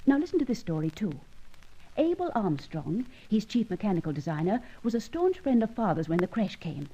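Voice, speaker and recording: An actress playing a determined, indignant young British woman, brisk and insistent, in an old radio detective drama. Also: medium pitch, very animated, dark tone, slight background noise. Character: determined, indignant young lady accomplice to gentleman thief. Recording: dull, lo-fi old radio recording.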